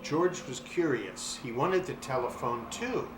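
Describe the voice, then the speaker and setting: Animated man reading a children's story, roomy echo. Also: medium pitch. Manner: dramatic, animated delivery with drawn-out words. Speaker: story reader. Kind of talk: expressive children's storybook narration. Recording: roomy echo, clear background noise.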